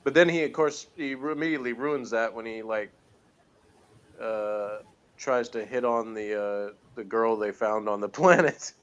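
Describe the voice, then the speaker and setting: A man's voice: fairly low pitch, moderate pace, clean tone, muffled recording. A man in casual, rambling chat as co-host of a review show, with a wry manner. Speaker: podcast co-host reviewing TV episodes.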